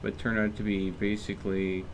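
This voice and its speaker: A male game streamer with a fairly low voice gives casual, rambling commentary. He thinks aloud as he plays, with faint noise and game ambience behind him. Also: completely flat delivery, normal speed. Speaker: game livestreamer.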